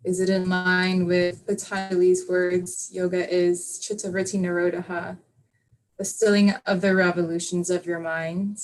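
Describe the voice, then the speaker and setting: Soft-spoken woman guiding a yoga class. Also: lowish voice, level tone, poor audio. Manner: soft, unhurried guiding with many fillers. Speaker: yoga teacher. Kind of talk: live online class, guided reflection. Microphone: video call.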